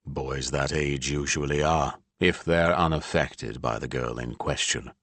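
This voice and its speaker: A man voicing a calm, thoughtful husband and father in an audiobook, speaking in a dry, measured way. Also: dry recording, exaggerated voice. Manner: dry, low-key, measured.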